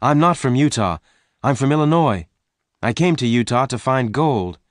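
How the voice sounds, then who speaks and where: Man doing a high pleading young woman. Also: measured pace, no echo, studio quality, lightly acted.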